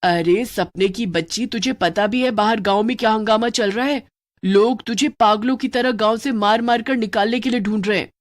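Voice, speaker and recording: A woman's voice: fairly high pitch, normal speed, lightly acted. A woman voicing a loyal female witch who scolds her friend with urgency. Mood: urgent scolding. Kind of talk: cartoon voice acting.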